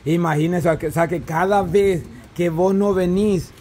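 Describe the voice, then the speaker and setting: High-voiced Salvadoran man, teasing and insistent. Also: fairly even intonation, unhurried, dark tone. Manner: teasing, insistent questioning, repeats himself. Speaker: host of a group vlog. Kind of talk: casual teasing group chat. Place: covered open-sided yard with a crowd.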